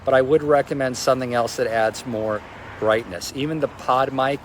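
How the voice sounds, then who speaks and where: A man's voice: mid-range voice, normal speed. Brief: male reviewer, strong voice, chatty, faint outdoor noise. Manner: conversational, loose phrasing. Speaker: product reviewer. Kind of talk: casual spoken review.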